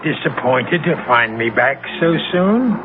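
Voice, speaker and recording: An actor playing a jealous, menacing sea captain in a 1970s radio drama, commanding and suspicious in manner. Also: male voice, medium pitch, expressive, a little slow, narrow, tinny audio, natural voice. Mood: brooding, jealous. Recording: noisy, band-limited old radio recording.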